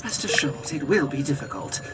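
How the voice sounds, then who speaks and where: A woman voicing a small floating robot guide in a video game, excitable, earnest and theatrical. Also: low-pitched, normal speed. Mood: earnest, rallying. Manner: theatrical, exclamatory. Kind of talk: video game cutscene acting. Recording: background game music.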